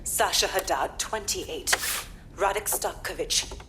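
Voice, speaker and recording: A woman's voice: lowish voice, takes it slowly, heavy background noise. A woman playing an embittered, determined police inspector in a video game, speaking firmly and earnestly. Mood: grave, resolute. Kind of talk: dramatic video game acting.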